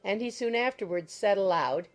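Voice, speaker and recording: A woman's voice: medium pitch, expressive, moderate pace, faint music behind. A woman narrating a classic novel as a scripted audiobook.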